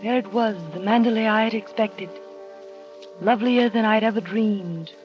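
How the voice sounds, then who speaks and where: An actress playing a timid young new wife who narrates and speaks hesitantly, with small stammers, in a 1930s radio drama. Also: female voice, mid-range voice, fairly even intonation, normal speed, natural voice. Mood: narrating. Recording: dull 1930s radio broadcast with music.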